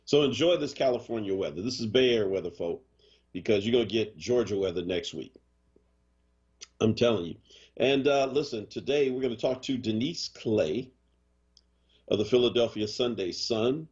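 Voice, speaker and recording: A man's voice: lowish voice, normal speed, breathy edge, muffled recording. A man hosting a morning radio show in casual chat, joking and teasing, with a Boston accent. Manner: animated, joking, does mock voices. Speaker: radio host.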